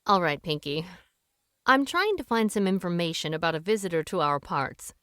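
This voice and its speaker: A cool-headed, shrewd woman with a dry, wry way of talking and a lively up-and-down tone. A woman acts her out close to the mic with no room sound. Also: on the low side, moderate pace, clean tone, no room sound. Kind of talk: amateur radio play acting.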